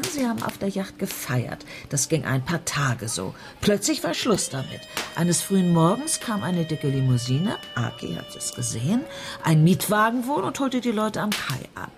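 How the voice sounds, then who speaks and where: An actress playing a sharp-minded lawyer in a radio crime drama, articulate and analytical. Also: female voice, lowish voice.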